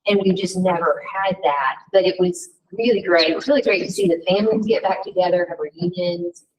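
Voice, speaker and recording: Lower-voiced woman, slightly hoarse, far off. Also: brisk, a crowd in the background. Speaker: hotel manager. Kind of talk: informal update. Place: meeting room. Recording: distant room mic at a meeting.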